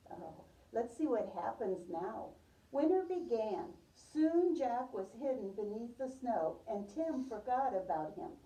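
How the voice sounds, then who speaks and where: A woman teacher reading a picture book aloud to young children, pausing to ask questions and repeating their answers. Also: on the high side, animated, dark tone. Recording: roomy, distant microphone, muffled top end.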